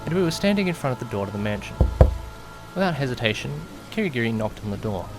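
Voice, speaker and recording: A man's voice: medium pitch, sing-song, quick pace, natural voice. A man playing a nervous, well-meaning young man who narrates his own story in an audio drama, earnest and anxious. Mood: earnest, uneasy. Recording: loud music behind the voice. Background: background music.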